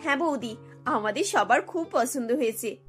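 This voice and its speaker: Woman voicing a high, excitable teasing young granddaughter. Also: moderate pace, soft background music, lightly acted. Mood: delighted. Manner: exclaiming, mock-complaining. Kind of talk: cartoon character voices.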